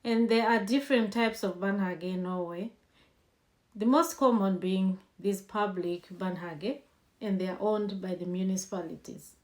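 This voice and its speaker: A woman's voice: medium pitch, moderate pace, darkish tone. A woman hosting an informal lesson, explaining step by step in a conversational way and sharing personal tips. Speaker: host and language teacher.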